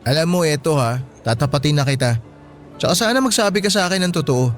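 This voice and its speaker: A high-pitched young Filipino man acting as a schoolboy boyfriend in a radio drama. He is blunt and insistent, yet playful and sweet, with faint music behind him. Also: lively intonation, fast talker, natural voice. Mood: frank, disapproving.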